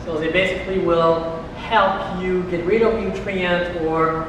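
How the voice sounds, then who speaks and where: A man presenting at a public meeting, explaining in a halting way with many restarts and fillers. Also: high-pitched, little pitch movement. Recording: echoey hall from far off, noisy.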